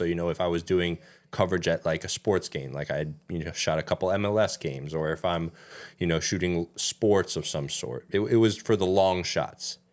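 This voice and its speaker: A young man with a very low, deep voice, co-hosting a relaxed podcast. His first language is Danish, and he speaks in a loose, rambling way, filling gaps with "you know.". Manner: loose and talkative, lots of "you know" fillers. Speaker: podcast co-host. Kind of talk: casual chat.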